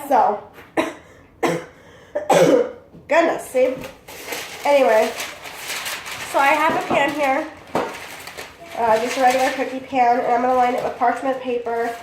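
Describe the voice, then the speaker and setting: A young woman with a congested voice from bronchitis, chatting casually as she demonstrates a recipe in her home kitchen. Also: high-pitched. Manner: chatty and rambling, talks while working. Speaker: cooking channel host. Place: small home kitchen, indoors. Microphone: camera mic from a few feet away. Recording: echoey kitchen with background noise.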